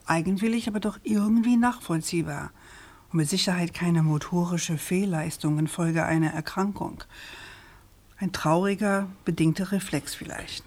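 Low-voiced, persistent female journalist. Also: darkish tone. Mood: quietly skeptical. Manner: measured, insistent, articulate. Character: persistent, probing female journalist, composed and precise. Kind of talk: German radio crime drama dialogue.

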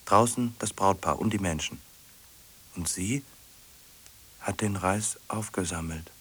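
Very husky, low, brooding solitary man. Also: a little slow, a bit bright, full character voice. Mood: detached, puzzled. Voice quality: husky, breathy, often sinking to a whisper. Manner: ruminating in short, questioning fragments. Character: solitary man fixated on a lonely woman, musing. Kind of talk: radio drama monologue.

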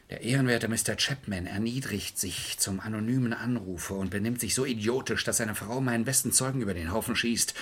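A commanding, scornful lawyer from an old crime radio play. His medium-pitched voice is sardonic and controlled, always probing, used to being obeyed. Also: fairly bright tone, slightly rough. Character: shrewd, domineering defence lawyer, scornful and commanding. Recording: old radio drama recording.

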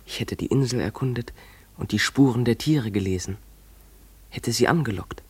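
An actor playing a dreamy, defiant runaway schoolboy in a German radio play, speaking in an imploring, declamatory way. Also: male voice, medium pitch, normal speed, breathy edge, dry recording. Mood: wistful, dreamy.

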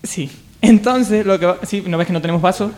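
A man hosting a segment of a casual comedy radio show, talking in a hesitant, halting way. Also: high voice, noisy background.

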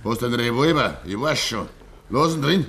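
An actor playing a stubborn, grieving old farmer with a Bavarian accent, deliberate and controlled, in a 1950s dialect radio drama. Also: male voice, medium pitch, animated, a bit bright, slight background noise. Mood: weary, resolved. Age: older.

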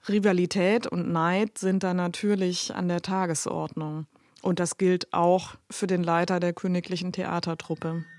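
A woman's voice: on the low side, level tone, unhurried, darkish tone. A woman giving explanatory expert commentary in an interview.

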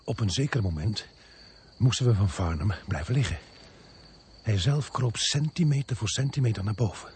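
An actor playing an earnest male narrator-protagonist, telling a suspense story in a measured radio drama style. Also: lowish voice, lively intonation, darkish tone, a little hiss or hum. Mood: suspenseful narrating. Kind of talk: dramatic first-person radio play narration.

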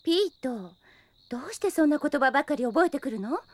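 An actress playing a caring but strict twenty-year-old big sister in an audio drama. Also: female voice, high voice, expressive, fast. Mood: scolding. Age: young adult. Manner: polite to guests, strict with her brother. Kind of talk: Japanese audio drama acting. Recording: loud background music.

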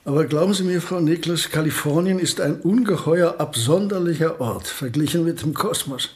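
A man's voice: fairly high pitch, moderate pace, a little mellow, natural voice. An actor playing a courteous, whimsical, modest male physicist in a radio drama, speaking in polite, formal phrases. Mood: courteous, gently ironic.

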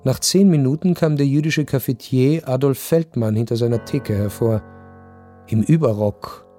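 A world-weary, melancholy baron, played by a man narrating a radio drama. His middle-register voice is measured and sombre, with music clearly behind it. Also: mid-range voice, warm tone, close to an everyday voice. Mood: melancholy, detached. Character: melancholy, detached baron recounting his decline. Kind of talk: literary first-person narration in radio drama.

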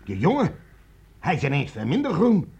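An actor playing an earnest, unsettled army captain in a radio play, husky and easily awed. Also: male voice, fairly high pitch, huge pitch swings, moderate pace, a little mellow, full character voice. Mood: amazed. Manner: earnest, dramatic.

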